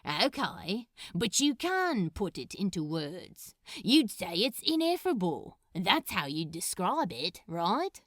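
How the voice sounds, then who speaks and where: Woman voicing a slow, drawling, teasing male demon. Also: medium pitch, big pitch swings, a bit bright, no echo, clean studio sound, full character voice. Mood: mock-indignant. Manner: casual, drawling, teasing banter. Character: teasing, grumbling male demon, casual and sly.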